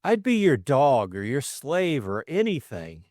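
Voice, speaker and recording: A man's voice: mid-range voice, wildly swooping pitch, unhurried, a little mellow, no echo, clean studio sound, voice pushed up. A man voicing a flustered, lovestruck young Englishman, stammering and eager. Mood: earnest pleading.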